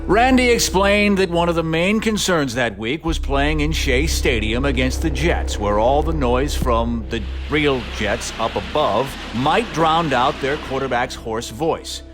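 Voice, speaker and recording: A man's voice: medium pitch, unhurried, hardly any pauses. A man delivering scripted, humorous documentary narration with playful, mock-dramatic emphasis, like a podcast host. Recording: music bed under narration. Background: music.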